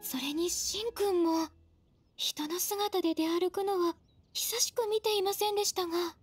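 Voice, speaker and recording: A gentle young secretary, polite and soft-spoken. Her voice is very high and light, with faint game music behind. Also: steady tone, normal speed. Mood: curious. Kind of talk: Japanese game dub.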